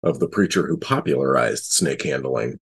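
A man's voice: very deep voice, normal speed, vocal fry, slightly rough. A man talking casually on a podcast panel, explaining things in a chatty way.

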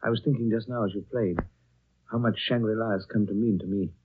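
Husky, thoughtful gentleman traveler. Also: on the low side, fairly even intonation, fast talker. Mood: tender, reflective. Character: thoughtful, composed gentleman traveler, quietly wistful. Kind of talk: 1930s radio drama acting. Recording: thin, narrow old 1930s radio recording.

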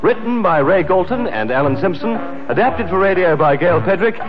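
Man, radio announcer, scripted credits, high pitch, loud music and applause behind. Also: animated, moderate pace, muffled recording.

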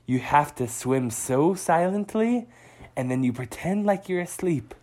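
A storyteller voicing a patient, stern father otter in an improvised bedtime story, speaking calmly and quietly. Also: a little slow, natural voice. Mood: hushed. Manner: calm, low, hushed.

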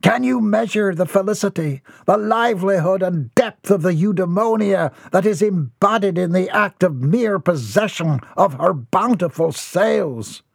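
A high-pitched eccentric dreamer rants in lofty, flowery phrases, as a man puts him on. His voice climbs well above a normal speaking range and lands dry and close, with no room around it. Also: measured pace, vocal fry, few pauses, slightly rough, no room sound, full character voice. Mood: grandiose ranting. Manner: grandiose, flowery ranting. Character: eccentric rambling nephew lost in sea fantasies.

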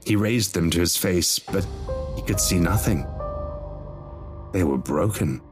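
A man's voice: low voice, lively intonation, a little slow, music in the background. A man reading a scripted horror story as its narrator.

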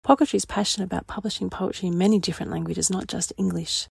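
A woman's voice: fairly low pitch, fairly even intonation, fast, gravelly, a little mellow, slightly rough, dry recording, studio quality. A woman hosting a poetry programme, reading scripted narration. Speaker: podcast host.

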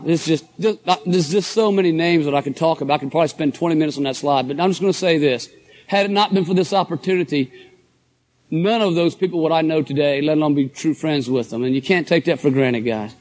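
Hoarse, folksy man. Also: fairly high pitch, darkish tone. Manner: folksy, enthusiastic, addresses crowd as guys and folks. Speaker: motivational speaker at a business convention. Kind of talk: motivational talk to a live audience.

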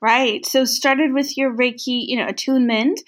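A woman's voice: sits high, fairly even intonation, normal speed. A woman hosting an interview podcast, friendly and hesitant, with many ums and encouraging mm-hmms. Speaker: podcast host. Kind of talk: casual interview.

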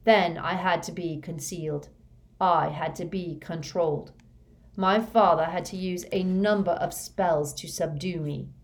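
A woman voicing a dazed younger male villain in an audiobook, confessing in a flat, expressionless way. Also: lowish voice, measured pace. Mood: dazed, emotionless. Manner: flat, expressionless, drugged monotone. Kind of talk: audiobook character voice.

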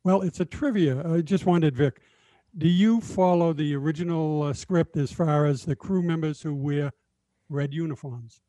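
A man's voice: a little high, normal speed, warm tone, no echo, poor audio. A man chatting casually on a talk show panel. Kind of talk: casual chat.

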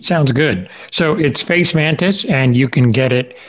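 A man's voice: mid-range voice, unhurried. A man hosting a podcast interview in an informal, affable and encouraging conversational way. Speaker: podcast host.

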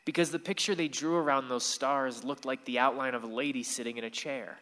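An actor playing an earnest, bookish stargazer in a radio play. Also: male voice, medium pitch, steady tone, fairly quick, a bit bright, lightly acted. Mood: explaining. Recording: very echoey reverberant room or hall.

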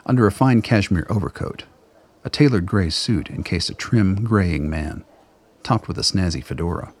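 A man's voice: deep voice, lively intonation, normal speed, darkish tone, breathy edge. A man reading scripted third-person narration for a radio play.

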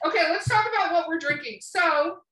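A woman's voice: extremely high pitch, fairly quick, bright, forceful tone, roomy sound, distant mic, a little hiss or hum. A woman hosting a casual podcast, playful, rambling and sweary, sounding a little tipsy. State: tipsy from drinking. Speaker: podcast host. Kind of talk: casual, joking podcast chat with sponsor reads.

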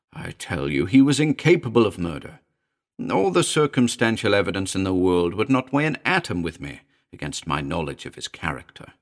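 A man acting an impassioned, urgently insistent gentleman. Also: mid-range voice, pitched above the performer's own voice. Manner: fervent, emphatic, urgent pleading. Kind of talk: dramatic audiobook character reading.

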